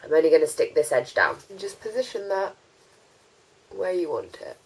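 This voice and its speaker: Young woman host, stuffy head cold, chatty and relaxed, home tutorial feel. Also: on the low side, moderate pace, breathy edge, poor audio. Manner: chatty, many ums and likes. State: congested with a cold. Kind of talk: casual how-to chat.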